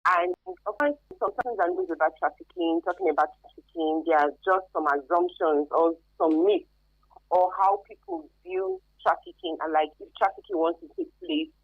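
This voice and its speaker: A woman's voice: medium pitch, sing-song, moderate pace, gravelly, breathy edge, no echo. A woman with a Nigerian accent giving emphatic, conversational interview answers as a guest expert, over narrow phone-like radio sound. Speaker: guest expert, career advisor.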